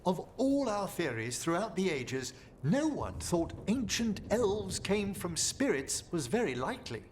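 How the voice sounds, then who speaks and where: A man's voice: high voice, sing-song, measured pace, a little hiss or hum. A man playing a genteel, scholarly mage in a game, with formal, precise, erudite speech. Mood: scholarly delight. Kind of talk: video game character dialogue.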